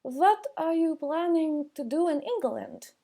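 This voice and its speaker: An audiobook character, played by a woman, an earnest middle-aged migrant man from Eastern Europe. He speaks slowly and haltingly, choosing each English word with care, in a raised pitch. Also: lively intonation, warm tone. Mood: earnest, curious.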